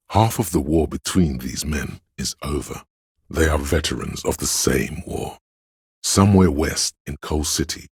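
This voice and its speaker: Gravelly, extremely deep brooding narrator. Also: male voice, no echo, clean studio sound, heavily acted. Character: brooding inner voice narrating police drama. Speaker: game narrator voice. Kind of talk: literary scripted narration.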